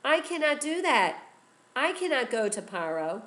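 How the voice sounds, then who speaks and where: A woman's voice: sits high, big pitch swings, unhurried, fairly bright tone, voice pushed up, heavily acted. A woman voicing a hesitant male prophet who pleads that he cannot do what he is asked. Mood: protesting. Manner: pleading, protesting. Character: reluctant, hesitant male prophet doubting himself.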